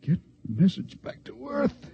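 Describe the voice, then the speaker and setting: An actor playing a dying ship's doctor in a 1950s radio drama, weak and gasping out a warning. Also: male voice, high-pitched, huge pitch swings, slow, vocal fry, heavily acted. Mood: weak, urgent. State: weak, gasping, short of breath. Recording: muffled, hissy old radio recording.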